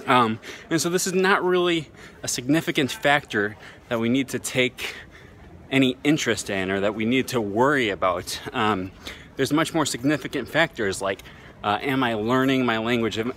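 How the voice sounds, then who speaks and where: A man in his thirties telling a story in a casual selfie vlog outdoors, relaxed and chatty with lots of ums, filmed on a phone held at arm's length. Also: mid-range voice. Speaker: language-learning vlogger. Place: busy city streets and square outdoors. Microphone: phone camera held at arm's length. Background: faint street and traffic noise.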